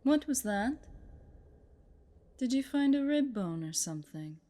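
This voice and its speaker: A woman voicing a possessive, sadistic spider-woman in a roleplay audio drama, smugly playful, with breathy, teasing, condescending coos over music. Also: a little high, big pitch swings, a little slow, mellow. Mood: smug, amused.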